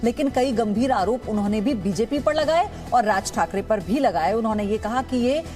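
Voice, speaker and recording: Woman, news anchor, high pitch, broadcast news delivery, clear music bed behind. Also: lively intonation, moderate pace, dark tone.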